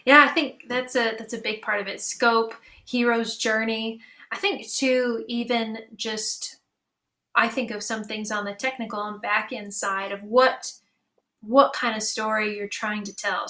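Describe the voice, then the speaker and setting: A woman with a fairly high, bright and forceful voice moderates a panel. She talks in a conversational style, as a fellow creator adding to the discussion. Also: level tone, measured pace.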